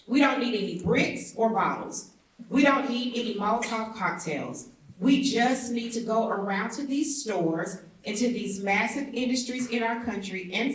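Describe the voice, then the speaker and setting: Female reader, mid-pitched, declarative oratory for a commemorative speech reading; roomy echo on the voice. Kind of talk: public reading of a historic speech. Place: indoor theatre event. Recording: echoey room, distant mic.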